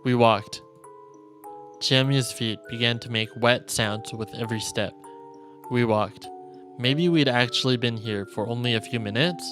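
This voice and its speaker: A male streamer reading visual novel narration aloud in a solemn, deliberate storytelling manner. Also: mid-range voice, a bit bright. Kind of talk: dramatic reading of game narration. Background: game music.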